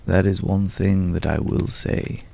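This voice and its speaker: A man acting a mysterious, courtly, world-weary man in an intimate, hushed ASMR roleplay, with florid, old-fashioned, pensive phrasing and a gravelly voice. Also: sits low, fairly even intonation, unhurried, heavily acted. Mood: wistful, pensive.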